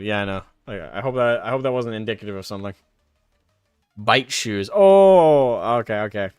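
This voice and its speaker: Smooth-voiced man chatting on a stream. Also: medium pitch, no room sound, clean studio sound. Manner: reads card text aloud, then rambles into anecdotes. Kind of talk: casual live stream chat.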